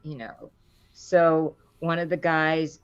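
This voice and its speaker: A middle-aged woman with a low voice, a voice actor chatting in an interview and acting out the quotes in her stories. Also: takes it slowly, smooth, highs cut off. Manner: animated storyteller, acts out quotes with rising pitch. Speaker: voice actor guest. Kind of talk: casual interview chat.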